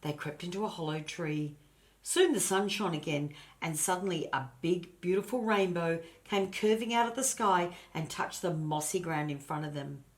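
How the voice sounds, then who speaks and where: A woman's voice: fairly low pitch, moderate pace. An older woman narrating a children's storybook expressively, in a grandmotherly way and addressing her listeners. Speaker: storybook reader.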